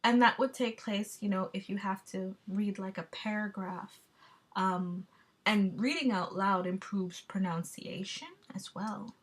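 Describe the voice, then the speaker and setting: Woman reading a class presentation. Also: mid-range voice. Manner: measured, explanatory, with occasional fillers. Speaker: student presenter.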